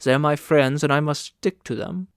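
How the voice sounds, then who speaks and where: A man's voice: a little high, moderate pace, clear, resonant tone, dry recording, clean studio sound, natural voice. Man voicing a polite, hesitant young male traveller. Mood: hesitant, stubborn. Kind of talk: audiobook character dialogue.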